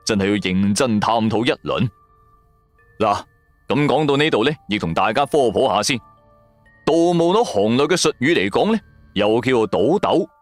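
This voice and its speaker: A Cantonese man narrates a dramatised first-person tale. His delivery is colloquial and animated, with pitch that moves a lot, recorded close and dry over music. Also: dry recording. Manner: colloquial, expressive. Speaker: storyteller.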